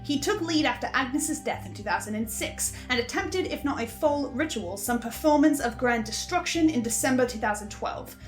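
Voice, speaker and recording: Woman as halting archivist recording notes, higher female voice, music underneath, stammering audio drama delivery. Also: animated. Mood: musing, chatty. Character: hesitant, hungry marked archivist recording a podcast reaction.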